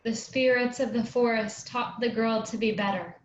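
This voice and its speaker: A woman's voice: fairly high pitch, steady tone, moderate pace, close to an everyday voice. A woman playing an earnest, reverent young girl learning from forest spirits in a staged play reading. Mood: hopeful, resolute. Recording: roomy, dull, noisy lo-fi recording.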